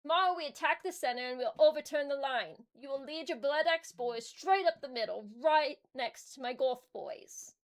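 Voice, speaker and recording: A woman voicing a loud, bossy male orc warboss in a put-on British accent, barking orders. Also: normal speed, hardly any pauses. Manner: booming, blustering commands.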